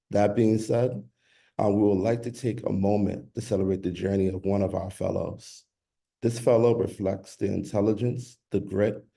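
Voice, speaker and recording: A man's voice: fairly low pitch, gravelly, dark tone. A man from the Bronx, a program manager, giving a proud, formal introduction on a video call. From the Bronx, New York.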